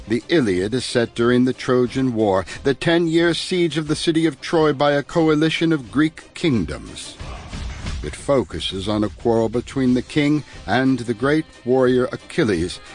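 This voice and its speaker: A male audiobook narrator reads a prepared literary introduction. His pitch sits in a middle range and moves in a lively, varied way. Faint music plays behind him.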